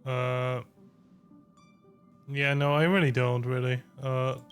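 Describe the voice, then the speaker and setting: A man's voice: medium pitch, flat delivery, takes it slowly, clear, resonant tone. A man giving casual live reaction commentary on a game, hesitant and wry, as if muttering along. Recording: faint game music behind the voice.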